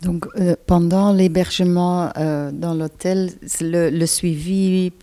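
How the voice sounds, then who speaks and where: A woman interviewer asks calm, prompting questions. Her voice sits fairly low and mellow, with a flat, even delivery. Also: takes it slowly.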